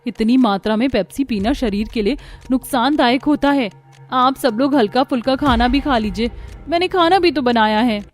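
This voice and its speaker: Woman as high-voiced young daughter-in-law. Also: normal speed, faint music behind, lightly acted. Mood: shocked. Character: young, exasperated, well-meaning new daughter-in-law.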